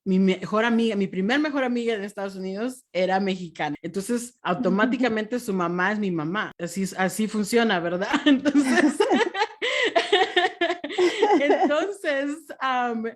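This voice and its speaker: A young woman from Peru, a podcast guest in a casual interview, who laughs often and fills pauses with soft "am"s. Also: medium pitch, lively intonation.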